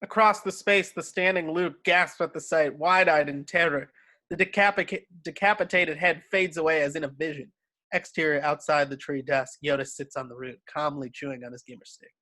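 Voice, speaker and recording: A man narrates a film script, reading out scene headings and stage directions. His voice is fairly high for a man, and he works as the narrator of a table read. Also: steady tone, normal speed, pushed and bright.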